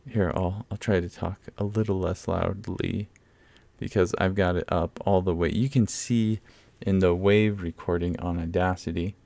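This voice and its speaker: A man's voice: low voice, steady tone, moderate pace, creaky, a little mellow, no echo. A man talking casually and explaining things as he demonstrates a product in a review, recorded at home on a condenser mic. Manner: conversational, explanatory. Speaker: microphone reviewer. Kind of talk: casual product demo talk. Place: open room at home, in a dome house. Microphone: condenser mic on a mixer, fairly close.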